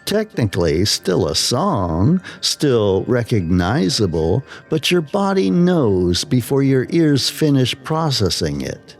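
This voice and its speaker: A man narrating a scripted slow-burn horror story in a measured way with dramatic pauses. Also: mid-range voice, very animated, faint music behind.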